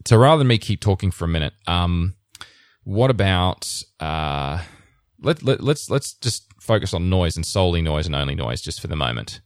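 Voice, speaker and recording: A man with a deep, low voice hosts a casual technical podcast. He rambles, restarts his sentences and laughs often. The sound is dry and close, with no room in it. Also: big pitch swings, normal speed, dry recording. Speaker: podcast host.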